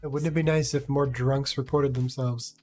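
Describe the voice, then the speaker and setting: A man's voice: medium pitch, steady tone, normal speed, a little mellow, soft background music. A man co-hosting a comedy show, bantering casually in a matter-of-fact way. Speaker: co-host.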